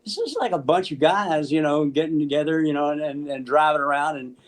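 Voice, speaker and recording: An American man in his seventies casually telling anecdotes in an animated, halting way, acting out the dialogue. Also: on the high side. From Memphis, United States. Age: 70s. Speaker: interview guest, musician. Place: small room at home, on a video call.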